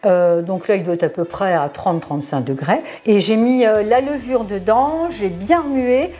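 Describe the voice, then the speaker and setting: A woman's voice: mid-range voice, animated, moderate pace, warm tone. A middle-aged woman from the Ardennes showing a family recipe in her home kitchen, chatty and hesitant with lots of fillers. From Ardennes, France. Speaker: home cook host. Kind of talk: casual cooking demonstration.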